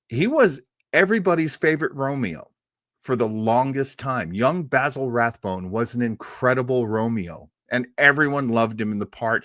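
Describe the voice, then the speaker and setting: A man's voice: mid-range voice, fairly bright tone, no echo, clean studio sound. A man hosting an informal, unscripted show on film history, rambling along as an enthusiastic storyteller. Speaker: host of an old radio and film show.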